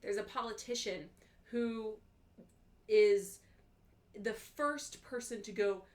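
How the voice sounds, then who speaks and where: A woman's voice: fairly high pitch, takes it slowly, a little mellow. A woman co-hosting a casual book club livestream, explaining her thoughts point by point.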